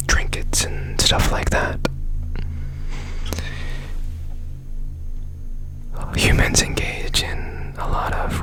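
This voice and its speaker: A strange, curious repairman played by a man murmurs in a breathy ASMR whisper. His delivery is slow, with a bright, sibilant edge. He soothes like a careful technician, repeating gentle reassurances as he works. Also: low-quality recording. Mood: musing, curious. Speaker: ASMR performer.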